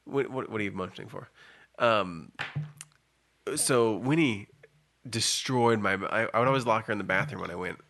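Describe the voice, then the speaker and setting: A man's voice: on the low side, moderate pace, vocal fry, pauses often, fairly bright tone, a little hiss or hum. Stuffed-up, laid-back man. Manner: laid-back, laughing, easygoing banter. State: stuffed-up nose. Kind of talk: casual chat.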